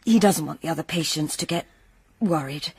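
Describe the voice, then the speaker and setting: An actress playing a brisk, reassuring young female clinic therapist in a radio drama, matter-of-fact and soothing. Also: sits low, darkish tone, breathy edge, dry recording, full character voice. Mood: gentle, confiding.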